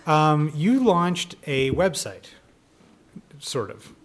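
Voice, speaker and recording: A man's voice: on the high side, very animated, very slow. A man hosting a casual, conversational interview, joking and playfully skeptical as he talks. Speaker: podcast host interviewer.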